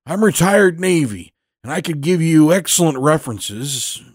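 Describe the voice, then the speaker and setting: A man voicing a genial, boastful Navy veteran in his eighties for an audio storybook, folksy and orating, with drawn-out emphatic phrases. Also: fairly high pitch, animated, husky, no echo, studio quality, voice pushed up. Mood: genial, pleading. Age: 80s.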